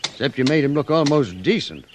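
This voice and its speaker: A man's voice: mid-range voice, expressive, brisk, background noise, lightly acted. A man playing a belligerent, blustering frontier settler, scornful and hot-headed, in a 1950s radio western. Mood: angry, scornful. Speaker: supporting actor in a western radio drama.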